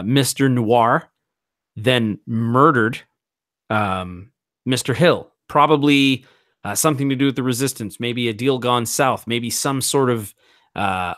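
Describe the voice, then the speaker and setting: A man playing a roleplaying game, improvising his story in a halting, deliberate way as he thinks aloud. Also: medium pitch, fairly bright tone, dry recording, clean studio sound.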